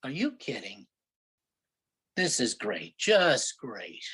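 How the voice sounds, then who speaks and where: A woman's voice: a bass voice, sing-song, takes it slowly, fairly bright tone, slightly rough, exaggerated voice. A woman playing a grumpy, sarcastic city driver from Brooklyn in a comic play reading, complaining in exasperation. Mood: exasperated.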